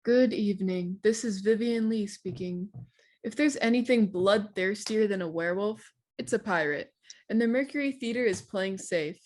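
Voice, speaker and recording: A woman's voice: medium pitch, normal speed, a little mellow. Wry woman presenting a radio play. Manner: wry, formal. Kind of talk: scripted theatrical introduction.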